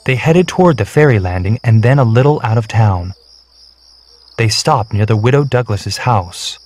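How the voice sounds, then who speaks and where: A man reading a children's storybook, clear and measured. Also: on the low side, lively intonation. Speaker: narrator. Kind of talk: scripted storybook narration. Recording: soft background music.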